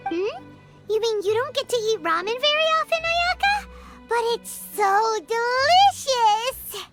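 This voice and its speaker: A woman's voice: extremely high pitch, sing-song, a little slow, music in the background, exaggerated voice. A woman voicing a tiny, greedy, chatty floating fairy girl who refers to herself in the third person, in game dialogue. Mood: playful.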